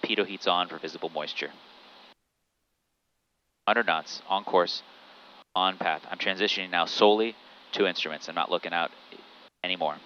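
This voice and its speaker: A man's voice: deep voice, steady tone, moderate pace, pauses often, fairly bright tone. A man piloting solo, giving methodical, terse cockpit commentary with repeated checklist callouts, over an aviation headset. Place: small airplane cockpit in flight. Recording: muffled aviation headset audio, noisy cockpit.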